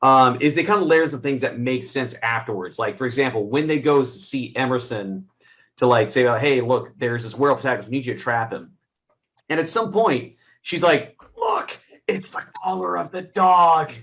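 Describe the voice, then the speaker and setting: A man's voice: mid-range voice, lively intonation, moderate pace, fairly bright tone. A man giving his take in a casual movie review, rambling and circling back to rephrase. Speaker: film review panelist.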